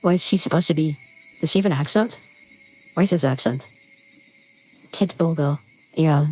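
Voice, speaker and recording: A woman using a voice-changer filter that makes her sound like a cute, high-pitched girl, talking playfully. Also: moderate pace, a little mellow, a little hiss or hum, rough recording. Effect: high girly voice-changer filter.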